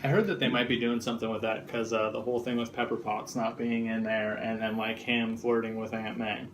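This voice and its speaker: A man's voice: on the low side, level tone, brisk, a little hiss or hum. A man co-hosting a casual film discussion podcast, speaking bluntly and swearing freely. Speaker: podcast co-host.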